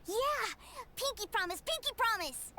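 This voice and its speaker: A woman voicing small, childlike video game characters, squeaky and excitable. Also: unhurried, heavy background noise. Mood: excited.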